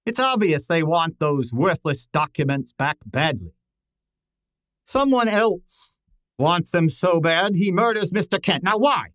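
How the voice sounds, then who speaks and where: A man acts out a shrewd, pedantic detective from an old-time radio play. His voice sits fairly high and is recorded dry and close. He speaks with formal, deliberate authority, as if questioning a suspect. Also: expressive, a bit bright, no room sound. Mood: pondering, authoritative.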